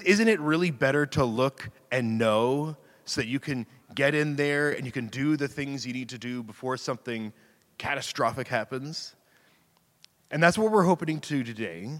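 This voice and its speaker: A man giving a casual, conversational sermon as a preacher, chatty and self-deprecating, with a slightly raspy voice. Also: medium pitch, fairly bright tone. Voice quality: slightly raspy from screaming into a mic. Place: church sanctuary. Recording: long hall reverb.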